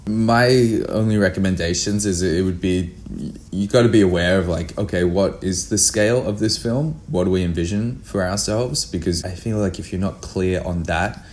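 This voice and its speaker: A man's voice: deep voice, moderate pace, hardly any pauses, darkish tone. A man speaking as a filmmaker guest in a casual interview, earnest and emphatic, often repeating himself for emphasis.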